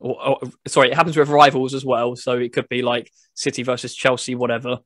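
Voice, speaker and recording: A man's voice: medium pitch, flat delivery, fast talker, a bit bright, no echo, studio quality. A man hosting a casual football game review, talking in a loose, rambling, hesitant way. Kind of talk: casual chat.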